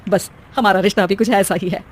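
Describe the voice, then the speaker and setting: A woman doing a sharp-tongued mother-in-law. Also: mid-range voice, fairly even intonation, fairly quick, natural voice. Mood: earnest. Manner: scolding, sarcastic jabs. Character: bossy older mother-in-law, sharp-tongued but caring. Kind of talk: cartoon voice acting.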